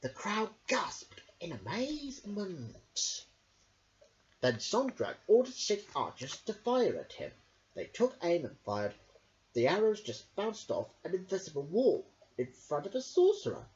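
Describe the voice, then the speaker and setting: A woman reading a children's story aloud in a theatrical, expressive way. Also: on the low side, measured pace. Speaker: story reader. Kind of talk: dramatic children's storybook narration. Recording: dull lo-fi home recording.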